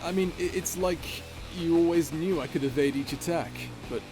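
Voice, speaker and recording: A man's voice: fairly high pitch, moderate pace, noisy background, natural voice. A man playing a cocky, playful space pirate captain in a flirtatious, joking, casual way for an audio roleplay. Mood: amused. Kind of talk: dramatic ASMR roleplay acting.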